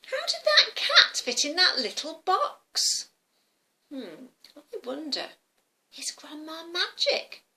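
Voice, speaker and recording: A woman voicing a curious, excitable little girl in a children's story, full of wonder and drawn-out exclamations. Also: high voice, slight background noise, voice pushed up, exaggerated voice. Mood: amazed. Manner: breathless wonder, stretched-out exclamations. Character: curious, excitable little girl, easily amazed, a bit sneaky.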